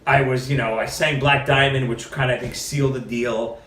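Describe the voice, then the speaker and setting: A middle-aged man, a podcast guest, telling stories in a casual, animated way and acting out what other people said. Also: medium pitch, normal speed, slight background noise. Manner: animated storyteller, quotes others with lively shifts. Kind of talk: casual storytelling interview. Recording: roomy, mic at a distance.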